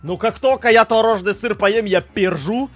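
A young man with a stuffy, nasal voice, chatting animatedly to his stream chat from a home setup on a boom mic. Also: normal speed, faint music behind. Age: young adult. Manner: animated, gestures a lot, swears casually. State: stuffy nose. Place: small home streaming room. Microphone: studio mic on boom arm.

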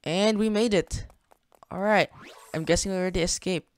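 A man's voice: high-pitched, moderate pace. A young man hosting a gaming channel, casually narrating his play in a chatty, slangy way, thinking aloud as he goes. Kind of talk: casual gameplay commentary.

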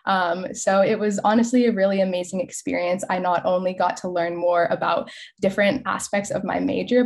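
A woman's voice: lowish voice, creaky, a little mellow. A woman of about twenty with a Californian American accent, answering as a student panelist in an informal way. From California, United States. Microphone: video call.

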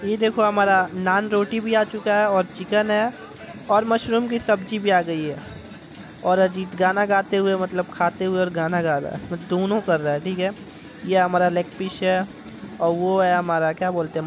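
High-voiced young man vlogging eagerly. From India. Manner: chatty, enthusiastic, keeps addressing viewers. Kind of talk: casual vlog narration. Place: outdoor restaurant terrace at night.

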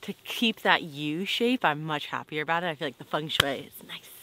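A young woman vlogging casually. She thinks out loud and fills her talk with "like". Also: low-pitched, lively intonation, moderate pace, background noise. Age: young adult. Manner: chatty, thinks aloud with many likes. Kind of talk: casual chat. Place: small wooden boat cabin, indoors. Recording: music playing under the voice.

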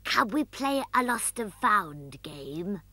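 A woman's voice: medium pitch, very animated, slow pace, bright, forceful tone, no room sound, pitched above the performer's own voice, exaggerated voice. A woman voicing a curious, earnest little puppet child in a children's show. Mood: eager curious.

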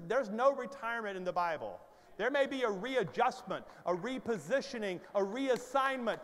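A man's voice: high voice, fairly bright tone, slight background noise. A man in his fifties preaching a sermon on a church stage with a headset mic, moving from conversational storytelling to urgent exhortation as he paces and gestures. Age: 50s. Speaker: pastor. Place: large church stage with hall reverb. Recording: hall reverb from large sanctuary.

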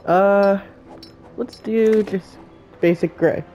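A man with a head cold talks through a game character build, his voice congested and a touch high for a man. He gives instructions slowly and plainly, one step at a time, with a soft, mellow tone. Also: fairly even intonation, muffled recording, faint music behind. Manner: slow, plain instruction-giving voice-over. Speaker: game tutorial host.

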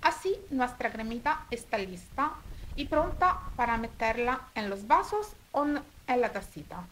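An Italian woman with an Italian accent speaking Spanish as a second language, demonstrating a recipe step by step at home in a careful, slightly halting way. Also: fairly high pitch, animated, a bit bright, slight background noise, poor audio. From Italy. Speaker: cooking video host. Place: home kitchen table.

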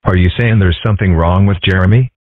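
Man acting a low, husky, brash bully's dad. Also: fast talker, no room sound, phone-quality sound. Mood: combative. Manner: dismissive, blustering. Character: brash, belligerent used-car salesman father of a bully. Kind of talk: comic strip dub.

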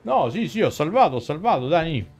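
Higher-pitched Roman man streaming a game. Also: big pitch swings, brisk, darkish tone, slightly rough. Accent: central Italian, Roman. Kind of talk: casual live gameplay commentary. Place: indoor room, gaming setup. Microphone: headset microphone. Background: game music.

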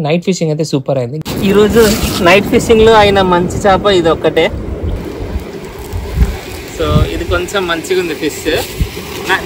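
A man, casually narrating a fishing vlog outdoors on a rocky shore and mixing in English phrases. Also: on the high side. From Telangana. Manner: excitable, switches into English phrases. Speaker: fishing vlogger. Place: rocky seashore at night, outdoors. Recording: outdoor camera audio with wind and surf noise.

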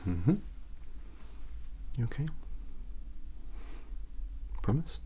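A young man doing an ASMR doctor roleplay, speaking softly and breathily, often whispering, in a gentle, reassuring, unhurried way close to the mic. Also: medium pitch, big pitch swings. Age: young adult. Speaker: ASMR performer playing a doctor. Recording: clear background hiss, close up.

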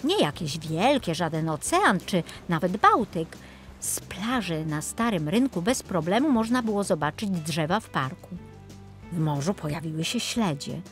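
Lively woman storyteller with animated pitch. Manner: expressive, playful storytelling. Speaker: storyteller narrator. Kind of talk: scripted children's story narration.

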